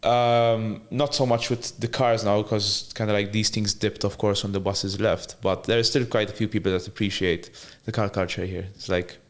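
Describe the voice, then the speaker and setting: A man's voice: fairly low pitch, fairly even intonation, moderate pace, few pauses. A man who is a podcast guest, telling stories from his life in a laid-back, rambling way with frequent restarts and easy laughter. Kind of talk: casual interview storytelling.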